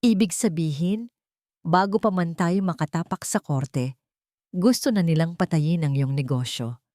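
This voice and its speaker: A woman voicing a calm, grave elderly male lawyer in an audio drama, measured, serious and straight-talking. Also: on the low side, no echo, studio quality, close to an everyday voice. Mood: grave, blunt.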